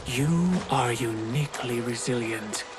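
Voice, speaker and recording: Man playing a slow, portentous mysterious tempter. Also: medium pitch, natural voice. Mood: measured, enticing. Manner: measured, persuasive, portentous. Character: mysterious, scheming male tempter offering power. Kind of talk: dramatic video game cutscene acting. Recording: loud music over the voice.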